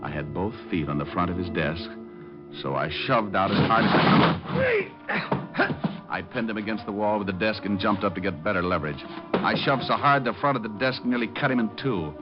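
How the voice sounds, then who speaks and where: An American actor playing a tough, wry, wisecracking private detective in an old-time radio drama. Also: male voice, on the low side, very animated, moderate pace. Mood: wry narrating. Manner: wry, hard-boiled, wisecracking. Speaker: lead actor and first-person narrator. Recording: muffled vintage radio, music behind.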